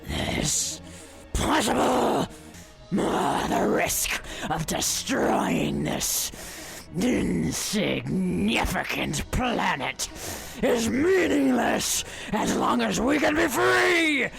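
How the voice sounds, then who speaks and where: A monstrous queen of cyborg polar bears, growls in a deeply gravelly, guttural roar. She is ravenous and imperious, chewing every line very slowly with wild swings of pitch, as a villain in an audio drama over music. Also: big pitch swings, exaggerated voice. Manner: hammy, scene-chewing, drawn-out delivery.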